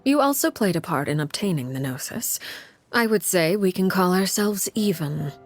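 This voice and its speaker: Game role: coolly courteous woman in command, lowish voice, music underneath. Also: animated. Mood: cool, gracious. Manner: formal, unhurried, polite. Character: composed, gracious, coolly formal adult woman leader. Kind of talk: video game voice acting.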